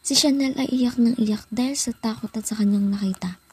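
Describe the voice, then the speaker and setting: A woman's voice: a little high, fairly quick, a little mellow, breathy edge, no echo. A young woman giving scripted narration as the storyteller of a student radio drama. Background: background music.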